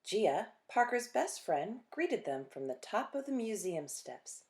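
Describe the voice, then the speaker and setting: A woman reading a children's picture book aloud expressively, phrasing deliberately with dramatic pauses. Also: mid-range voice, big pitch swings, darkish tone. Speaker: children's storytime reader.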